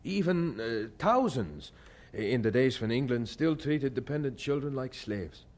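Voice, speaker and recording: An actor playing an earnest, devout pastor with a hesitant manner in a radio drama. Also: male voice, medium pitch, lively intonation, darkish tone, lightly acted. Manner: hesitant, halting in polite talk. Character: earnest, devout German-born male pastor, married.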